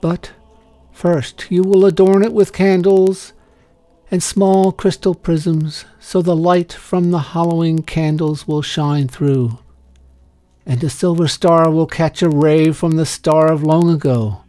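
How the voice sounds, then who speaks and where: A man voicing a wistful, tender old woman in a story reading, earnest and measured. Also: on the high side, fairly even intonation, dark tone, no room sound.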